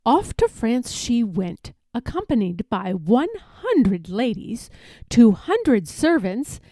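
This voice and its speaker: Female storyteller for children's picture book: mellow, unhurried, expressive, close dry sound. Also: on the high side, no echo. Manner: deliberate, animated storytelling. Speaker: read-aloud storyteller.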